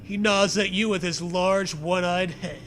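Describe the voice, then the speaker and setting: Male co-host, bright and high-pitched with a slow delivery, reading absurd fiction aloud and joking crudely. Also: steady tone, slight background noise. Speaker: co-host reading a comic story. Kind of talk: comedic read-aloud with casual banter.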